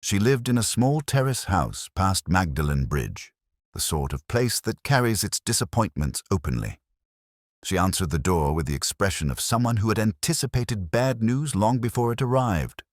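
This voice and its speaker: A man playing a brooding, erudite middle-aged police inspector, narrating a detective audio drama in a measured, literary, ruminative way. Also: lowish voice, expressive, no room sound, studio quality. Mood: pensive.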